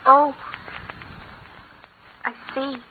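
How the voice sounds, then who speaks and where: A high, girlish voice for an outwardly innocent, scheming little daughter. The delivery is intense golden-age radio horror acting, on a thin, phone-like recording with some noise. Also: level tone, very slow. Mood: sweet, meek. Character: young girl, outwardly sweet, secretly hateful and scheming. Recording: scratchy muffled old-time radio recording.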